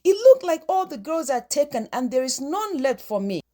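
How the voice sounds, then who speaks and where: A woman acts out a teenage schoolboy in a storybook tale. He is plaintive, self-pitying and dismissive, with a high voice that swings up and down. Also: sing-song, normal speed, mellow. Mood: complaining. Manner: plaintive complaining.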